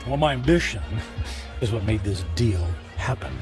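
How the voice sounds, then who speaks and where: A man's voice: on the low side, expressive, normal speed, music in the background, natural voice. An actor playing a smug, ruthless, self-absorbed businessman in a radio drama, sarcastic and dryly mocking. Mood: smug, boastful.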